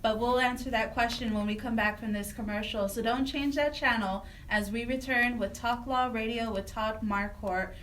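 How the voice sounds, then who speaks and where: A woman's voice: a little high, steady tone, normal speed, few pauses, a bit bright. A woman co-hosting a talk radio show, steering the segment and addressing listeners. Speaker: radio co-host. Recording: slightly distant mic, background noise.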